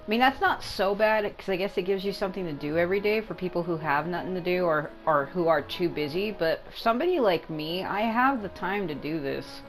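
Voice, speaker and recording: A woman's voice: lowish voice, expressive, brisk, hardly any pauses, soft background music, poor audio. A woman giving casual gameplay commentary as a video game streamer, rambling and repeating herself for emphasis.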